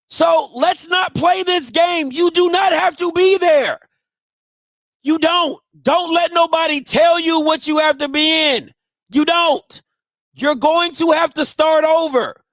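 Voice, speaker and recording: A high-pitched man in his thirties hosts a live call-in show, talking bluntly and emphatically. He swings from quiet asides to shouting, and the sound is dry and close. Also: no echo, low-quality recording. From Maryland. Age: 30s. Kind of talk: casual advice talk to listeners.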